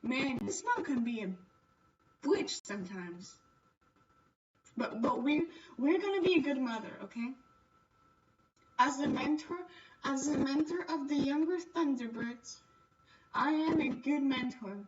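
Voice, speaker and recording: A woman's voice: sits high, expressive, unhurried, pauses often, distant mic, dull audio. A woman livestreaming a game, chatting casually and playfully with her viewers. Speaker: livestreamer playing a visual novel. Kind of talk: casual chat while gaming.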